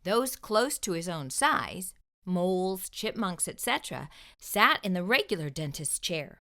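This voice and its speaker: A woman hosting a children's storytime, reading a picture book aloud in an expressive narrating style. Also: lowish voice, measured pace. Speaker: children's storytime host and reader.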